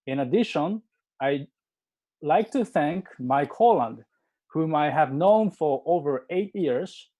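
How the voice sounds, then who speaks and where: A man's voice: fairly high pitch, mellow. A man who organized the forum, giving a formal speech of thanks with a few small restarts. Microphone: video call.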